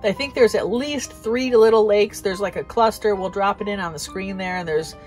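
A woman's voice: medium pitch, normal speed, a little mellow, faint music behind. An American woman in her thirties giving a casual, conversational recap as a vlog host, talking inside a small camper.

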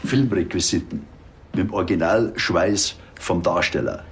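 A man's voice: deep voice, expressive, normal speed, vocal fry. A man playing a plain-spoken small-town hat maker in a TV crime comedy, with a Bavarian accent and a matter-of-fact, colloquial manner. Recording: some background ambient noise.

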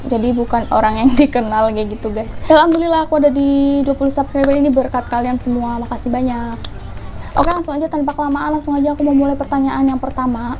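Young woman vlogger, high-pitched, casual chat into a lapel mic, faint noise behind. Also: fairly even intonation, fast talker, dark tone. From Central Java, Indonesia. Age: 20s. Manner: chatty, often tags lines with "guys". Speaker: mukbang vlogger. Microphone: clip-on lapel mic.

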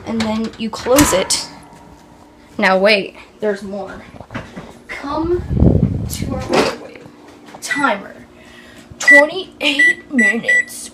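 Young woman, animated intonation, giggly, playful cooking vlog chat, echoey room, distant from mic. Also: high-pitched, background noise. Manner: giggly, goofy, teasing. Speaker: vlogger hosting a cooking video. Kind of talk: playful, chatty cooking demonstration. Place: kitchen.

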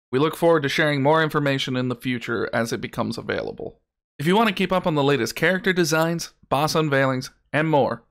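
A man's voice: medium pitch, a bit bright. A man presenting for a studio in scripted announcement narration. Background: music.

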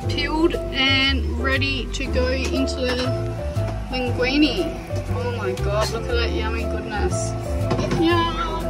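A woman in her thirties with an Australian accent, excitable and chatty, vlogging from a boat. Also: high-pitched. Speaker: sailing vlogger. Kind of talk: casual vlog narration. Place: outdoors on a boat deck. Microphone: phone at arm's length. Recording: loud background music.

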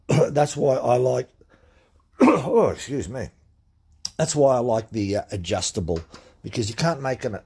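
A middle-aged man talks through a hands-on workshop demonstration, plain-spoken and practical, with plenty of fillers. His voice is husky and mellow. He is picked up by the camera filming his hands at the bench. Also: lowish voice. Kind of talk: casual hands-on tool demonstration. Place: indoor workshop at a cluttered workbench. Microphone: camera mic filming his hands up close.

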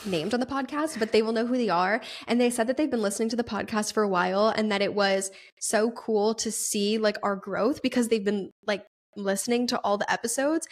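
A woman in her mid-twenties co-hosting a podcast, rambling casually with many "like"s and frequent giggles. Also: medium pitch. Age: 20s. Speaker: podcast co-host. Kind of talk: casual chat.

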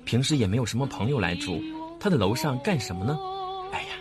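A man's voice: medium pitch, sing-song, fast, natural voice. An actor playing a timid, polite, nosy young male tenant in a horror radio drama, hesitant and muttering. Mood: curious, uneasy. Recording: loud music behind the voice.

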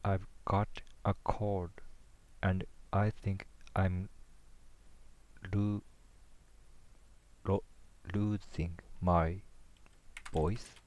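A man with a Japanese first language, talking slowly in a low voice into a headset, halting and sounding out words under his breath. Keyboard clicks and typing sit behind him in a small room. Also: flat delivery, a little mellow. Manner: hesitant, sounding out words, muttering to himself. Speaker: language learner streaming practice. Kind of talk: casual self-talk while studying.

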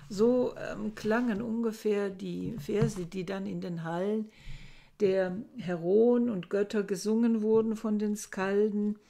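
Older woman lecturing slowly, gravelly voice. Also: mid-range voice, steady tone, warm tone. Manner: slow, thoughtful, with frequent hesitations. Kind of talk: recorded lecture reading and explaining old verses. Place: home study lined with bookshelves. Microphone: webcam at desk.

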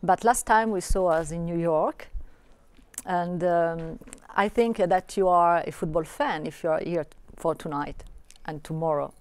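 Woman, interviewer, low-ish pitch, dry sound, friendly prompts. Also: a little slow, a little mellow, no echo. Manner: friendly, laughs along, short prompts. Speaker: master of ceremony interviewer. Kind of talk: event interview host. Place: indoor event studio set.

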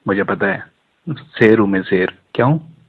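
A man's voice: fairly low pitch, animated, normal speed, husky. A man chatting casually on a recorded phone call, joking and teasing.

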